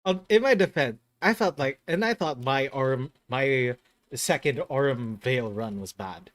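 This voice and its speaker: A man hosting a casual gaming stream, speaking at an ordinary middle pitch. He rambles through his thoughts and corrects himself mid-sentence. He laughs easily along the way. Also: animated, unhurried, no echo. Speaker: host of a gaming channel.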